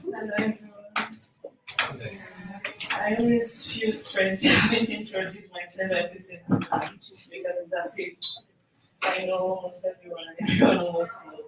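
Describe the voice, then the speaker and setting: A woman's voice: medium pitch, flat delivery, normal speed, crowd noise. Woman presenter in a very echoey room. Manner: informal, engages audience with questions. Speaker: presenter, program officer. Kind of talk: interactive workshop presentation. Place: conference room. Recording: echoey room, distant mic, noisy.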